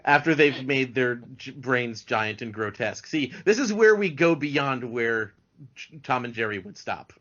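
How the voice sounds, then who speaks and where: A man talking casually as a podcast guest, halting and repeating words as he goes. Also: medium pitch, animated, fairly bright tone. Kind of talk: casual chat. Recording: dull lo-fi audio.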